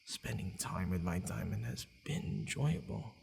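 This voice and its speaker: Guy doing a low gravelly vampire boyfriend for asmr, flustered but tender, lots of hesitant sighs, slight background noise. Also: huge pitch swings, unhurried, darkish tone, full character voice. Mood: pensive. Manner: hesitant, sighing, murmuring to himself. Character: possessive male vampire lord, flustered, secretly tender. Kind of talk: ASMR boyfriend roleplay audio.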